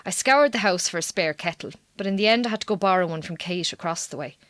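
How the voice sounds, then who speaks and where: An actress playing a weary, guarded Irish farmer's wife in a radio drama, strained and terse, with an Irish accent. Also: female voice, lowish voice, quick pace, a bit bright, dry recording, lightly acted. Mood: tired, strained. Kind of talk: naturalistic radio drama dialogue.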